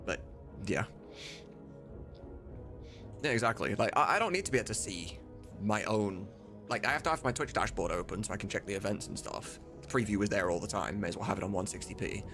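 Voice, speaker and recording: A man's voice: fairly low pitch, brisk, pauses often, over music. A man chatting casually and informally as a livestream host, with some mild swearing. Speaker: livestreamer and host of a game voice-dubbing mod team.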